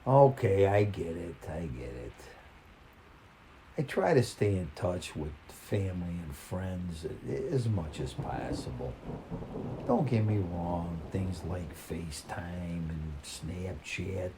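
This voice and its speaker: An older man reading a personal story in a folksy, plain-spoken, conversational way, with halting, reflective pauses. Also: low-pitched, animated, warm tone, slight background noise.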